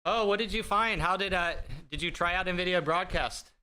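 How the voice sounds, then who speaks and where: Bright-voiced, rambling male streamer. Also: moderate pace, clean tone. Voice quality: bright, higher-pitched voice. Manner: rambling and self-deprecating, with frequent fillers and laughs. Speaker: livestream host. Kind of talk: casual chat with live viewers. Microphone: desk streaming mic through an audio mixer.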